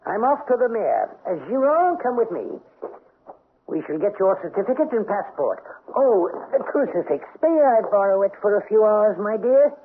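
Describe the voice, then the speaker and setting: Suave cruel count, tinny radio sound. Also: male voice, high-pitched, very animated, moderate pace, slight background noise. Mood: smoothly genial. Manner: suave, formal, mockingly polite. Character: cold, vengeful French count, suavely cruel husband. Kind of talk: old-time radio drama acting. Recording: muffled, tinny old radio recording.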